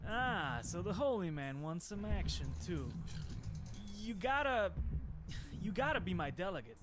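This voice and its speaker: High-voiced streetwise hustler. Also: male voice, sing-song, measured pace, background noise. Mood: wheedling. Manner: slangy, tough-talking. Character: shady, streetwise male hustler, suspicious, aggrieved, mercenary. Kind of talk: video game dialogue acting.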